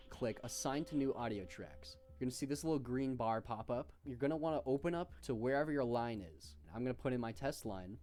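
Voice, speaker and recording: A man giving an informal, offhand software tutorial with slangy asides. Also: mid-range voice. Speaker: tutorial host. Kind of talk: casual software tutorial narration.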